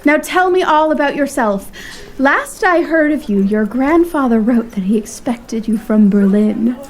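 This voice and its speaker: A woman playing a refined, affectionate young lady abroad in a period audio drama, with an animated, formal way of talking. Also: high-pitched, measured pace. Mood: delighted, excited. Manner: animated, genteel, formal phrasing.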